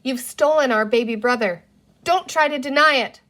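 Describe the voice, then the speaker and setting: A woman's voice: high-pitched, moderate pace, a bit bright, pitched above the performer's own voice. A woman voicing a bossy, decisive girl in a children's storybook, with a firm, commanding manner. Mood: grim, accusing.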